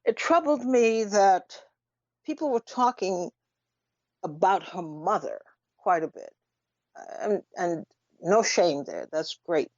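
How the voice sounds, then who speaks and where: A woman giving unscripted radio commentary in an emphatic, deliberate manner, with rhetorical questions and pauses. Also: lowish voice, very animated, a little mellow, dry recording. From Trinidad. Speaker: radio talk show host. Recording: dull lo-fi radio audio.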